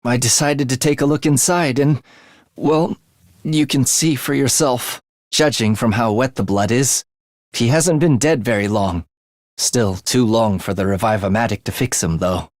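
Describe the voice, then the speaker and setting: A man's voice: mid-range voice, a little slow, no echo, studio quality, close to an everyday voice. A man voicing an eerie, bloodthirsty young male student in a video game, with a sinister, theatrical manner that savors morbid details. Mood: grim, calm. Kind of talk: video game character voice acting.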